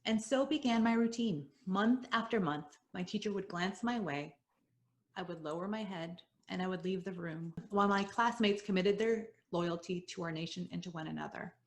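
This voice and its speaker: A woman in her forties, a native Spanish speaker, telling a personal story at a showcase in a measured, earnest and reflective way. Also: lowish voice. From Southern Oregon, USA.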